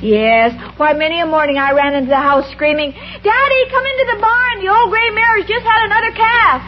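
An actress playing a scatterbrained sweet young woman in 1950s radio sitcom style, with a breathy, wide-eyed delivery. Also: very high voice, expressive, a little fast. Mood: innocent. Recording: lo-fi old radio recording, audience laughter, hiss.

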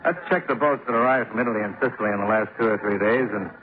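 An American actor playing a hard-boiled, wry investigator, narrating a 1950s radio detective drama in a clipped, matter-of-fact way. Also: male voice, on the low side, very fast, lightly acted. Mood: brisk reasoning. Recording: tinny old 1950s radio recording, music behind.

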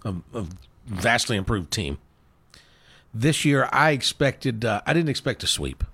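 A man's voice: mid-range voice, very animated, a little slow, fairly bright tone, slightly rough, dry recording. A man co-hosting a sports show in casual, folksy conversation, often trailing off into asides.

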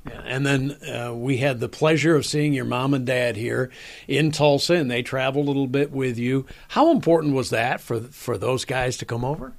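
A man's voice: medium pitch, normal speed, vocal fry. A man hosting an interview, giving informative lead-ins before his questions.

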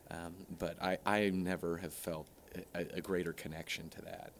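Low, flat, halting man. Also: a little hiss or hum. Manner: hesitant, halting, full of uh and restarts. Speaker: interview guest. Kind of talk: comedic mock interview.

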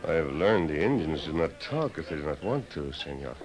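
An actor playing a sly, polite Mexican drifter with a Mexican accent and formal phrasing in a radio western. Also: male voice, rumbling low, big pitch swings, fairly quick, a crowd in the background, exaggerated voice. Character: smooth-talking male Mexican drifter, a hero in disguise. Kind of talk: 1950s radio western acting. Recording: faintly noisy 1950s radio recording.

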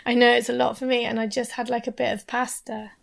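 A woman's voice: a little high, flat delivery, quick pace, vocal fry. A woman who has lost her voice and has a sore throat, chatting casually over a video call, often dropping to a near whisper. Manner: chatty, drops to a near whisper at serious points.

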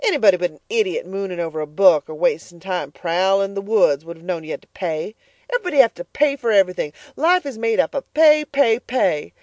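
A woman reading the part of a bitter, stingy farm mother who scolds loudly and sharply. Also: mid-range voice, lively intonation, a little fast, dry recording, lightly acted. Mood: furious. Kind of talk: audiobook character voice.

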